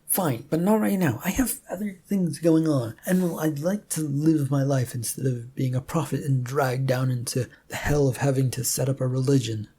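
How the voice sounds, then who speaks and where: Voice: mid-range voice, normal speed, few pauses, dark tone, husky, natural voice. A narrator voicing a flustered, awkward man who stammers hesitantly through a story as a reluctant prophet. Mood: exasperated. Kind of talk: amateur story reading in character.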